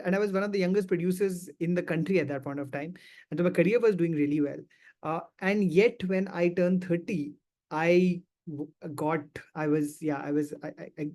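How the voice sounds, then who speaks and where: A man in his thirties with a fairly high voice, speaking as a panel guest. He tells his own story in an earnest, reflective way. He often restarts sentences and repeats himself as he works through his thoughts. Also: a little mellow. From India. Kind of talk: candid personal storytelling.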